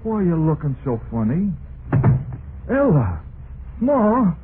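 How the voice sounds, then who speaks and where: A man's voice: fairly high pitch, huge pitch swings, heavily acted. An actor playing a simple, trusting son who longs for his mama, plaintive and folksy in an old-time radio drama. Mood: anxious, overjoyed. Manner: slow-witted, plaintive, folksy. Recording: tinny, noisy vintage radio recording.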